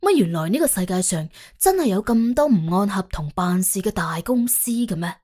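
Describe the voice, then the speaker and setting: A woman narrating an audiobook in colloquial Cantonese, telling the story in an expressive, wry way. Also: medium pitch, normal speed. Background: faint background music.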